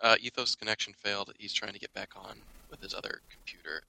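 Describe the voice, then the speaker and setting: A man talks games informally on a podcast, swearing freely. His lowish voice is very sharp and edged with fry, and he speaks quickly. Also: dry recording, low-quality recording. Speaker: podcast panelist. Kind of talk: casual gaming chat.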